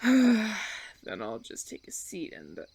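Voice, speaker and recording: A man playing a suave, condescending vampire colony leader in a roleplay audio drama, theatrical and teasing, with drawn-out phrasing. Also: high-pitched, huge pitch swings, gravelly, breathy edge, no echo, full character voice. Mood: playfully mocking.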